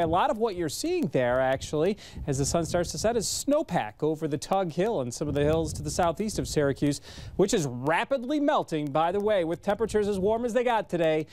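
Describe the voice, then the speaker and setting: High-voiced American man giving a weather forecast. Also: darkish tone. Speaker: television meteorologist. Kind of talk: ad-libbed weather forecast commentary.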